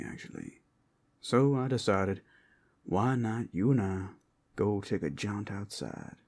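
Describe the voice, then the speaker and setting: A man playing a flirtatious, teasing werewolf in an intimate ASMR roleplay, with a husky, gravelly voice that often drops toward a whisper and a playful, seductive drawl. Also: lowish voice, darkish tone, exaggerated voice. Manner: slow, playful, seductive drawl with pet names. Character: flirtatious, teasing male werewolf companion in a fantasy world. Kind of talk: ASMR roleplay, intimate scripted acting to the listener.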